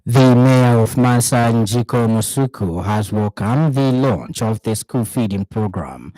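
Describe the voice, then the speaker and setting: Low, mellow Zambian male newsreader. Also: no echo, rough recording. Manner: formal, measured newsreader delivery. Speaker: radio news reader. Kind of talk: scripted news bulletin reading.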